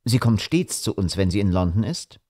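A man's voice: on the low side, lively intonation, normal speed, darkish tone, dry recording, clean studio sound, voice pushed down. A man voicing a polite, matter-of-fact male hotel clerk.

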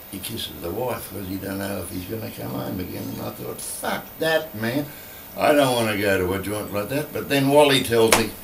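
An older man with an Australian accent and a croaky voice left over from flu, chatting bluntly and swearing freely in a small home room into a vocal mic on a stand. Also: lowish voice, noisy background. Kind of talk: casual rambling monologue. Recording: roomy, from a distance.

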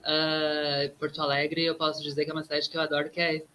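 A woman's voice: low-pitched, flat delivery, fast, bright, forceful tone, slight background noise. A woman in her thirties from southern Brazil with a Gaúcho accent, a guest artist talking reflectively on a video call from a room at home, often ending thoughts with tag questions. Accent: Gaúcho Brazilian Portuguese. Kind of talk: casual interview talk. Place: home room with plants, indoors.

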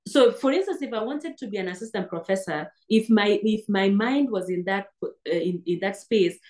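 A woman's voice: medium pitch, expressive, normal speed, darkish tone. Kenyan woman in her thirties, earnest interview guest on a webcam, talks in restarts. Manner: earnest, restarts words often. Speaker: guest, PhD graduate. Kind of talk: interview over video call. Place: indoor room, seated on sofa. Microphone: laptop webcam on video call.

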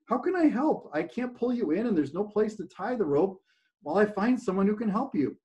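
A man doing an exasperated young man yelling. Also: high-pitched, big pitch swings, a little fast, voice pushed up. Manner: strained, shouting, exasperated. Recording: dull lo-fi recording.

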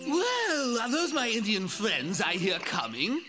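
A man's voice: sits high, huge pitch swings, unhurried, full character voice. A man playing a haughty, sly snake king in a children's cartoon story, with theatrical, mocking delivery. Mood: sly, welcoming. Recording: music behind the voice.

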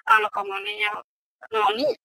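A man using a voice-changing program to sound like a giggly, squeaky young woman. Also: expressive, moderate pace, vocal fry, sharp tone, heavily acted. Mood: giggly chatter. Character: giggly high-pitched girlish voice "Cortana". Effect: voice changer pitch shift.

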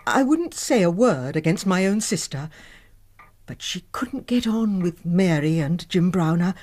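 An actress playing a reserved, respectable Victorian spinster in a period radio drama, speaking formally and guardedly. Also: female voice, lowish voice, lively intonation, a little slow, a little mellow. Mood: aggrieved, reticent.

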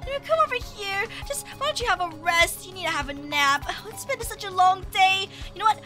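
A woman's voice: extremely high pitch, normal speed. A woman playing an anxious pregnant young mother in a game roleplay, melodramatic and exclamatory, often talking to herself. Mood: tender, worried. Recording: loud music bed behind the voice.

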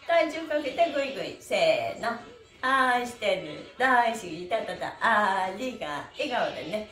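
A woman's voice: fairly high pitch, lively intonation, a little slow, fairly bright tone. A middle-aged woman cheerfully leading a stretching lesson, calling out encouragement and rhythmic chanted counts as she moves. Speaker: stretch exercise instructor and host. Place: small bare-walled room indoors. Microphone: camera a few metres away. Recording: roomy echo, distant mic, music.

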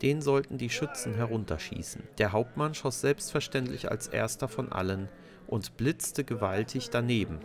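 A man tells a scripted children's story as narrator. His voice sits at an average pitch. Behind him there is clearly audible crowd noise. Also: normal speed.

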